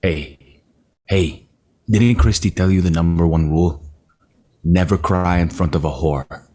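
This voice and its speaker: A man reading the part of a deadpan young man at a table read. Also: deep voice, a little slow. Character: weary young man, dry and blunt.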